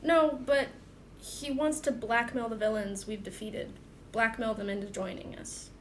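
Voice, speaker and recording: A woman voicing an anxious, principled young male superhero in an audio drama, halting and earnest. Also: fairly high pitch, fairly even intonation, lightly acted, rough recording. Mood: flustered, troubled. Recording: clear background noise.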